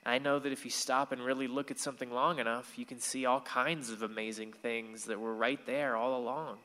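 A man playing a gentle, lovestruck sixteen-year-old boy in a radio play, earnest and tender in his delivery. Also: mid-range voice, steady tone, brisk, lightly acted. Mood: tender, dreamy. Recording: long reverberant hall sound.

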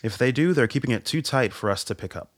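An actor playing a laconic army major in an audio drama, speaking in a measured, understated way. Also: male voice, medium pitch, animated, dry recording, clean studio sound, close to an everyday voice. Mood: matter-of-fact. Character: composed, laconic army major, the colonel's aide.

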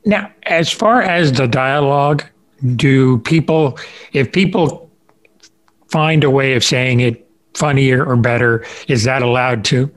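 A man hosting a podcast interview in a casual, rambling way, often halting as he thinks aloud. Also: medium pitch. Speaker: podcast host interviewing a guest.

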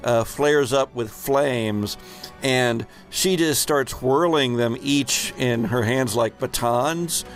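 A man narrates a tabletop game as its host and game master. He goes slowly and haltingly, building dramatic descriptions, with faint music underneath. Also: mid-range voice.

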